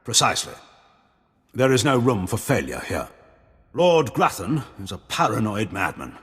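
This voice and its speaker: An actor playing a haughty middle-aged Imperial admiral in a video game, formal, measured and condescending. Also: male voice, fairly low pitch, very animated, a bit bright, husky, long reverb tail, exaggerated voice. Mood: grave.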